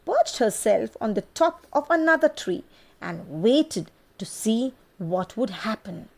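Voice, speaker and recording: A woman narrating a children's bedtime folk tale in an unhurried, expressive storytelling manner. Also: mid-range voice.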